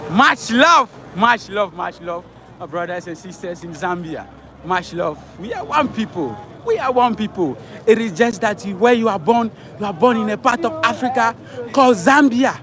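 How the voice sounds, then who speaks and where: A man's voice: sits high, lively intonation, normal speed. A man vlogging fervently from a street march, repeating his rallying lines. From Ghana. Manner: fervent, repetitive rallying speech. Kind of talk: outdoor street vlog commentary. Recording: loud crowd noise outdoors. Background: chanting crowd and sirens.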